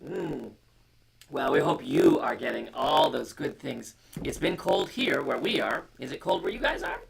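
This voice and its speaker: A storytime host reading a picture book aloud in a hushed way that drops into whispers, and chatting casually. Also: mid-range voice, lively intonation, normal speed, gravelly, low-quality recording.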